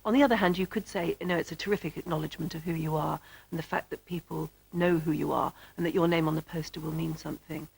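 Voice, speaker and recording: A woman talking reflectively in an interview, in a measured, pausing manner. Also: deep voice, steady tone, vocal fry, darkish tone, slightly rough, low-quality recording.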